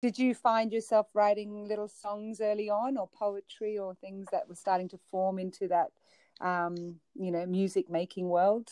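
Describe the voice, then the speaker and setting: A woman's voice: medium pitch, flat delivery, moderate pace, clear, resonant tone, no room sound. A woman from Australia with an Australian accent, hosting a casual interview and encouraging her guest. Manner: warm encouraging listener, frequent mm-hmms. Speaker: interview host.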